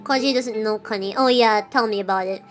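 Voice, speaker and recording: A woman's voice: mid-range voice, a little fast. A woman streamer reading a visual novel aloud on a casual livestream, breaking off for chatty, giggly asides. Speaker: VTuber streamer. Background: soft game music.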